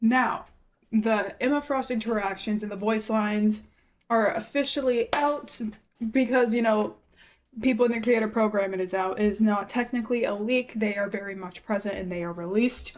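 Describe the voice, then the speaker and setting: A young woman hosting a casual game reaction video, chatty, excitable and playful. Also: medium pitch, moderate pace, a little hiss or hum. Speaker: YouTube reaction host.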